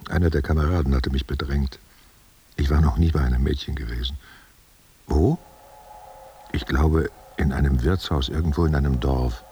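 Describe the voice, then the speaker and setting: An actor playing an old war veteran in a radio play, giving halting, evasive confessions in a gravelly, husky voice. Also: male voice, rumbling low, dark tone, heavily acted. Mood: halting, confessional. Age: older. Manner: halting, defensive answers to unheard questions. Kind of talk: literary radio play monologue.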